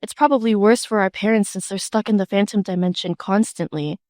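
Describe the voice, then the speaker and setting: A woman's voice: medium pitch, steady tone, brisk, a little mellow, dry recording, studio quality, lightly acted. A young woman voicing a worried, take-charge leader of a group on the run in a webtoon fan dub. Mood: grim, focused.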